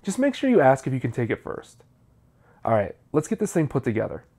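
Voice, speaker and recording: A man's voice: medium pitch, huge pitch swings, brisk, mellow. A man, narrating a how-to video in a scripted, explanatory style.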